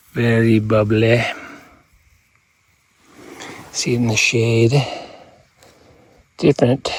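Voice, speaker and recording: A man vlogging casually outdoors, showing finds in short, laid-back muttered fragments with a gravelly, husky voice. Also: medium pitch. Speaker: rockhounding vlogger. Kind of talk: casual outdoor show-and-tell. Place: outdoors on open hilly ground.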